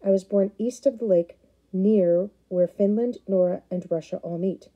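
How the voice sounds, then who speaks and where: A woman voicing a calm, plainspoken male herder from the far north who talks steadily. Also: high-pitched, normal speed, narrow, tinny audio.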